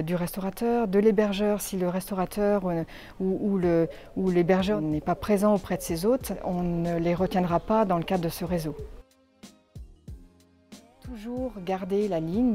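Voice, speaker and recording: A woman's voice: fairly low pitch, dark tone. A woman giving explanatory interview answers as a tourist office representative, searching for her words as she goes.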